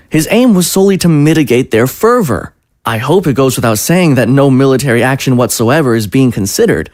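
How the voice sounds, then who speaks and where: Man playing a higher-voiced, placating political adviser. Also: no echo, clean studio sound, natural voice. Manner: formal, diplomatic, wordy. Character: smooth-talking, placating male presidential adviser. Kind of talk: audio drama acting.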